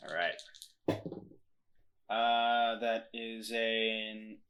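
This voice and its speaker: A man playing a tabletop roleplaying game, talking casually in a hesitant, deliberate way. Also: fairly low pitch, monotone, a bit bright, smooth, dull audio. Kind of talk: casual game chat, some in-character talk.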